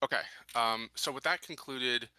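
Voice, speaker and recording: A man playing a tabletop roleplaying game, talking through his choices casually and haltingly. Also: mid-range voice, sharp tone, smooth, dry recording.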